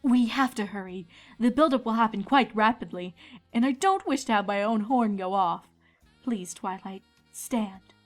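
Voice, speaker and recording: This is a woman acting a regal elder pony princess in a storybook reading. She is measured, formal and tactful, a mentor with a fairly high voice. Soft music plays behind her. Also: natural voice. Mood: urgent.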